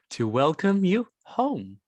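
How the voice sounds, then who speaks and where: An actor playing a menacing, arrogant gang leader in a table read, mocking and gloating with halting, chuckling delivery. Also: mid-range voice, darkish tone, no echo, exaggerated voice. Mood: mocking menace.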